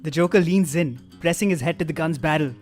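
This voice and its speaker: A man narrating stage directions for a comic table read, with wry, deadpan asides. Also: a little high, fairly quick. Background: live guitar music.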